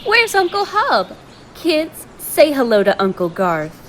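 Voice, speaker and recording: A narrator voicing a shrill, bossy middle-aged woman with a high, grating voice who scolds and accuses. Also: wildly swooping pitch, slow, fairly bright tone, a little hiss or hum, heavily acted. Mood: falsely sweet.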